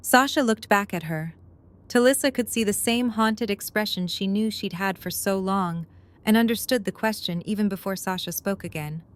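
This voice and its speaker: Female narrator, medium pitch, scripted storytelling, audio drama. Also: moderate pace.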